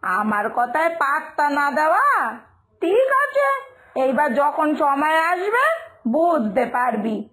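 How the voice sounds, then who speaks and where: A woman's voice: high voice, sing-song, slow pace, a bit bright, exaggerated voice. A woman voicing a superstitious, stubborn village mother in a cartoon moral tale, scolding and insistent. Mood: warning, annoyed. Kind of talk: Bengali moral-story cartoon dialogue. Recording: music behind the voice.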